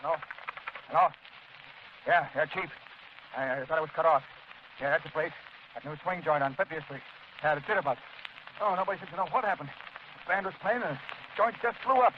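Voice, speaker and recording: A man's voice: on the high side, a little fast, pauses often, natural voice. A man playing a policeman reporting to his chief in a 1930s radio play. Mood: matter-of-fact. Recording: muffled, hissy 1930s radio recording.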